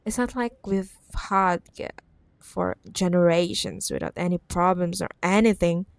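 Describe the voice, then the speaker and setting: Female actor for obsessive corporate villainess ex in ASMR drama; teasing, mock-sweet menace, unhurried delivery, very dry sound. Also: lowish voice, steady tone, vocal fry, dry recording. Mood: sarcastic, exasperated. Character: possessive, menacing, sweetly condescending corporate CEO ex-girlfriend. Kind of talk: roleplay ASMR audio drama.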